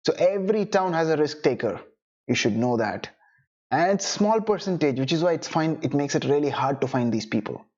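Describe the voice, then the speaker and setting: A man's voice: fairly high pitch, lively intonation, fairly quick, a little mellow, muffled recording. Tamil-speaking man coaching casually. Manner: conversational, many "right?" and "you know" tag questions. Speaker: freelance coach. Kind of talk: online lesson, talking to camera.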